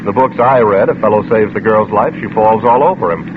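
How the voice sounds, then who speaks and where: Man acting smooth-talking sarcastic guy in old radio thriller, tinny and noisy. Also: on the low side, a little fast, lightly acted. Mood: playful, flirtatious. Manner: breezy, sarcastic banter. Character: glib, charming, flippant male suspect, smoothly teasing. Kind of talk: 1940s-50s radio drama acting.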